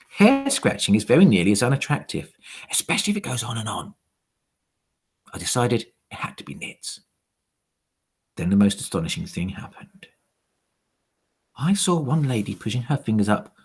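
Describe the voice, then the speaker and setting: A man reading a children's story aloud in a dramatic, theatrical way, with hushed, suspenseful dips. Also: mid-range voice, slightly rough.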